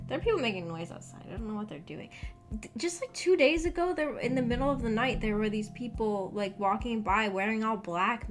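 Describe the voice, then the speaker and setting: A woman livestreamer rambling casually to her viewers in a hesitant, self-deprecating way, as the host. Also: medium pitch. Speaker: livestreamer hosting a visual novel book club. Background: background music.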